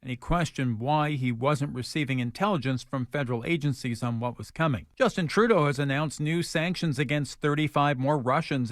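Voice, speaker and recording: A man's voice: fairly high pitch, no room sound, clean studio sound. A man reading scripted news as a radio anchor. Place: radio studio. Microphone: close studio microphone.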